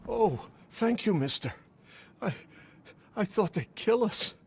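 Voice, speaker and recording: A woman playing a shaken, grateful rescued hostage in a video game, speaking breathily and haltingly. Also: mid-range voice, expressive, vocal fry, darkish tone, slightly rough, heavily acted. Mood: shaken gratitude. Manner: halting, tremulous. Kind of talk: video game cutscene acting.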